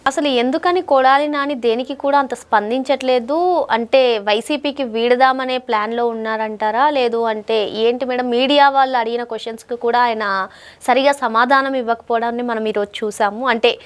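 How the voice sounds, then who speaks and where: A woman's voice: a little high, steady tone, normal speed. A woman hosting a news interview, putting long, multi-part questions to a guest. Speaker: interviewer, host.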